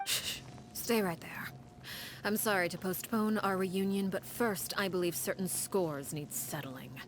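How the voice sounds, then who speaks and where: A woman voicing a cold, composed, menacing head of a household of assassins in a video game, measured, formal and quietly threatening. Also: mid-range voice, noisy background, lightly acted. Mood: calm menace. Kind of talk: dramatic game character acting.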